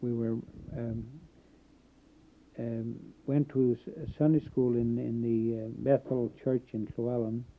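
A man born in Canada recalling his Welsh community in a halting oral history interview, pausing often. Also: medium pitch, little pitch movement. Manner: halting, many filler pauses. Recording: tinny phone-like old tape.

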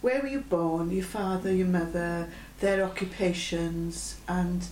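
A woman conducting an oral history interview, gently prompting with questions. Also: on the low side, unhurried. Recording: echoey room with faint hum.

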